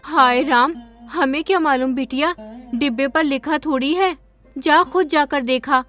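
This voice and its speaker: A tired, loving village mother in a cartoon storybook, voiced by a man. Her voice is pitched high and bright, and she sounds resigned to hardship yet fiercely protective of her children. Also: unhurried, faint music behind, full character voice.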